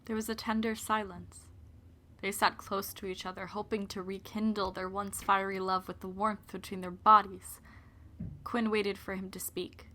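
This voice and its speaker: Audio drama narrator: woman, solemn scripted delivery, average pitch, slight background noise. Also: flat delivery, normal speed, sharp tone. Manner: solemn storytelling.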